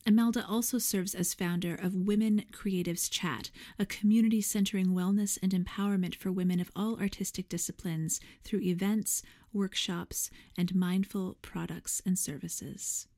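A woman's voice: mid-range voice, fairly even intonation, normal speed, hardly any pauses, warm tone. A woman host reading a scripted introduction of a guest.

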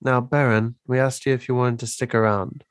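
A man playing a tabletop roleplaying game, talking casually and haltingly in short improvised phrases. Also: mid-range voice, flat delivery, clean tone, no room sound.

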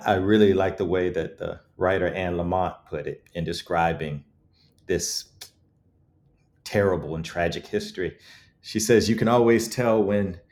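Man, low voice, interviewed author, thoughtful delivery, earnest, articulate, rhetorical emphasis. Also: darkish tone. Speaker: author interviewed about his book.

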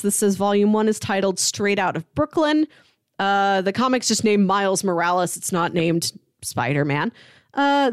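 A woman's voice: mid-range voice, clear, resonant tone, dry recording, clean studio sound. A woman co-hosting a podcast, talking casually and reading out short blurbs. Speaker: podcast co-host.

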